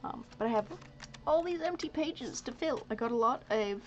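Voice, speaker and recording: A woman's voice: a little high, lively intonation, moderate pace, slight background noise. A woman in her twenties whose first language is Dutch, chatting casually on a live stream, rambling and muttering asides as she works. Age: 20s. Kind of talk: casual live-stream chat while painting. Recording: slightly dull lo-fi stream audio with faint ambience.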